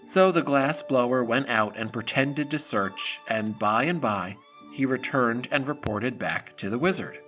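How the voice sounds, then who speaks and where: A man reads storybook narration for an audio drama. His mid-pitched voice is bright and forward, with faint music behind it. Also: expressive, measured pace.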